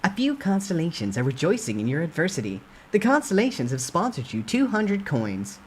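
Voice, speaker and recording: A man voicing an impersonal game system that reads out notifications in a crisp, formal way for a storytime reading. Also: on the high side, huge pitch swings, brisk, a little hiss or hum, pitched above the performer's own voice. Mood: bright announcing.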